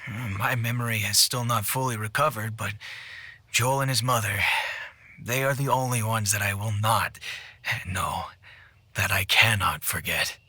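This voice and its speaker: A man playing a gentle, halting father in a video game cutscene, repeating words as he goes, with noise behind him. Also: lowish voice, husky, no echo, exaggerated voice. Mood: halting, sorrowful.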